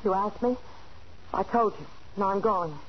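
An actress playing a lonely, desperate unfaithful wife in a halting, pleading way, in a 1940s radio thriller. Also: female voice, medium pitch, natural voice. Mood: guarded, uneasy. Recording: muffled, noisy 1940s radio recording.

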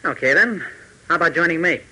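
Young heroic pilot, 1940s radio serial, bright highish voice, steady n persuasive. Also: male voice, fast, slightly rough, heavily acted, low-quality recording. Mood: firm, persuasive. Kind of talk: 1940s radio adventure acting.

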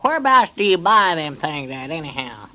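Sentimental old country man, high voice, rural Southern accent, naive, rambling, 1930s radio serial. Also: big pitch swings, moderate pace, pushed and bright, heavily acted. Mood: naive, musing. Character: simple, sentimental old country fellow, easily moved.

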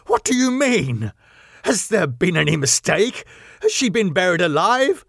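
Man playing an anguished, high-pitched young lord. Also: very animated, measured pace, gravelly, fairly bright tone, dry recording, studio quality, heavily acted. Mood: shocked, anguished. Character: anguished, hot-tempered young English lord, outraged and passionate.

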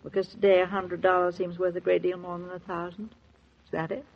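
An actress playing a shrewd, gently probing middle-aged mother-in-law in 1940s radio drama style, husky and knowing. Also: on the low side, little pitch movement, fairly quick, slight background noise. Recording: dull vintage 1940s radio transcription.

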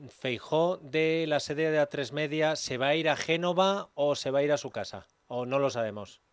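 A man's voice: on the high side, normal speed, clear, resonant tone, no room sound. A man from hosting live radio in a playful, theatrical announcing manner. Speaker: radio host.

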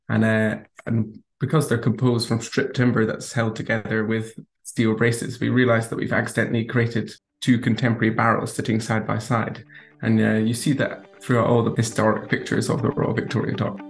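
Brief: male architect, mid pitch, flat even delivery, calm and explanatory. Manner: calm, measured, explanatory, with small fillers. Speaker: architect and bench designer. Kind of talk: interview answers.

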